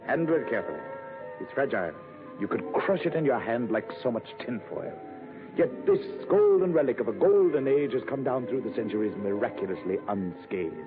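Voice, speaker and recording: An actor playing a pompous, cultured dealer in priceless antiquities in an old-time radio detective drama, with florid, formal diction. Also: male voice, mid-range voice, sing-song, a little fast, natural voice. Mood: reverent. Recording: tinny vintage radio, faint music.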